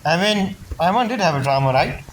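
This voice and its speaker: A woman's voice: fairly low pitch, expressive, brisk, a little hiss or hum. A woman giving casual gameplay commentary in short, sweary reactions. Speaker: game streamer. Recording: loud game music behind.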